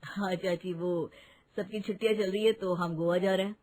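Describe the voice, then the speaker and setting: A woman's voice: mid-range voice, fairly even intonation, normal speed, a little mellow, close to an everyday voice. A woman voicing a confident, modern young daughter-in-law who speaks her mind in a Hindi moral-story cartoon. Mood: polite.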